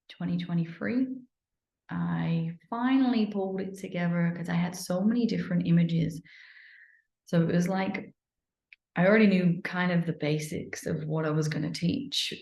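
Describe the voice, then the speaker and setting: A woman from England with a Manchester accent, an energy healer talking casually about her workshops, relaxed and meandering with many ums. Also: lowish voice, vocal fry, reverberant, dull audio. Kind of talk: casual promotional talk to camera.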